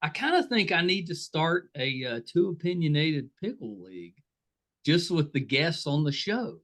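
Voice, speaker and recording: A man's voice: on the high side, sing-song, normal speed, a bit bright. A man hosting a podcast, chatting casually and in a friendly way with his guest. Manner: friendly, chatty, self-deprecating. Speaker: podcast host. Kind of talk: casual interview chat.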